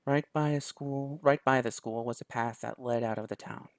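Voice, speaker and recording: A man's voice: mid-range voice, steady tone, moderate pace, no room sound. A man reading a visual novel aloud in first person, with small restarts. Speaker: let's play host.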